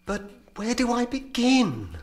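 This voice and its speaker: Earnest young apprentice boy, very expressive. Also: medium pitch, takes it slowly. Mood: unsure, earnest. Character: young orphan boy apprentice, timid, eager, curious. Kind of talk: audio drama acting.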